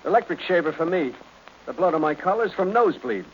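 Male actor, thirties everyman, high-pitched, casual, amused, lightly mocking, 1940s radio acting, dull old recording. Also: animated, fast talker, slight background noise, close to an everyday voice. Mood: easygoing. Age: 30s. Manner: casual, chuckling, needling. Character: amused, easygoing thirties working man, mocking and chuckling.

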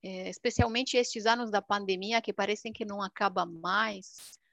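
A young woman from Romania, a native Romanian speaker with a Romanian accent, chatting casually in an expressive, animated way. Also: medium pitch, normal speed, creaky, no echo. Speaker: language video creator. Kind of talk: casual chat.

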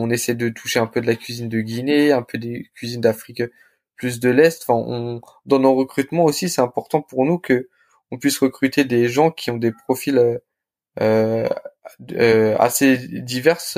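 A young man telling his story casually as an interview guest, in informal, halting phrases. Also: medium pitch, steady tone, a little mellow. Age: young adult. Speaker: restaurant co-founder, interview guest.

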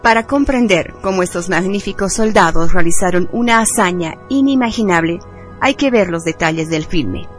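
A woman's voice: mid-range voice, normal speed. A woman presenting on radio, reading a scripted narration. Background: soft background music.

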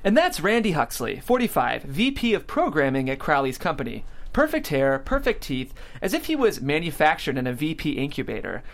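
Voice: mid-range voice, big pitch swings, a little fast, hardly any pauses. A narrator reading stage directions aloud at a comedic script table read.

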